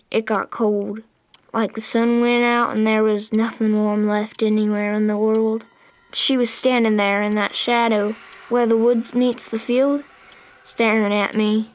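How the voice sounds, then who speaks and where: A woman's voice: a little high, close to an everyday voice. A woman voicing an earnest, imaginative little boy with a Southern US accent, telling things in a childlike, folksy way for a radio drama. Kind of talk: old-time radio drama acting.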